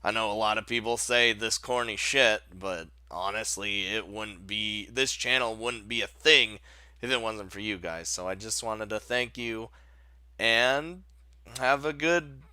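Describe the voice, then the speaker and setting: A man's voice: fairly low pitch, unhurried, bright, forceful tone, clear, resonant tone, dry recording. A man hosting a casual countdown video, rambling informally as he talks. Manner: rambling, informal, chuckles often. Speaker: video host. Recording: music playing behind the voice. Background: background music.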